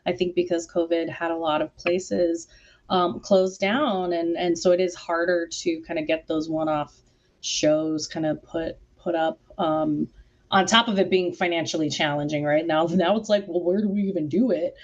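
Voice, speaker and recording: A woman's voice: fairly low pitch, fairly even intonation, normal speed, darkish tone. A woman chatting casually in a panel conversation. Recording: dull, lo-fi call audio.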